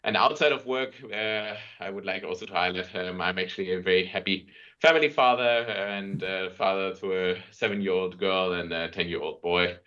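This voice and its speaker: A middle-aged German-accented man with a very low voice. He speaks conversationally as an interview guest, in halting phrases, on a slightly dull, lo-fi recording. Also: pushed and bright. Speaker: interview guest, marketing and sales director. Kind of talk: conversational business interview answers.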